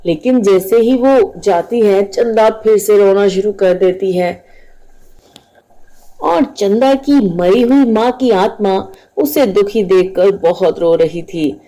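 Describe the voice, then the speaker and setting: A woman's voice: mid-range voice, slow, warm tone, poor audio. A woman narrating a scripted moral story as the storyteller.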